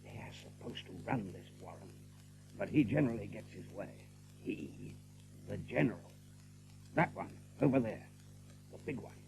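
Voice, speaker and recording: An actor playing a rabbit guard captain in an audiobook drama, dutiful and deferential, with brisk, clipped speech. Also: male voice, on the low side, animated, creaky, a little mellow, faint music behind, rough recording. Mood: confiding.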